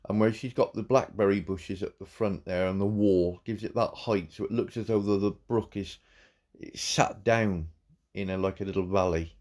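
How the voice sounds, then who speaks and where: A man, croaky from a cold, giving halting, warmly praising commentary on a farm game. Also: fairly low pitch, fairly even intonation. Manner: halting, repeats words, warm praise. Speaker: farm game channel host. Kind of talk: casual gameplay commentary.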